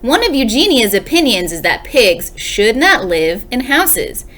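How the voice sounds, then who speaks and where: Lady teacher doing a read-aloud for little kids, really animated pitch, explains stuff as she goes. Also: a little slow. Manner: explains and asks questions to young listeners. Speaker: second grade teacher. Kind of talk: expressive children's read-aloud.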